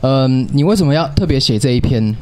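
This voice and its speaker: A man in his thirties chats as a radio host in a loose, improvised way. He rambles and banters casually. There is clear noise and some clicking behind his voice. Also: mid-range voice, expressive, a little fast, dark tone. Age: 30s. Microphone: radio show microphone.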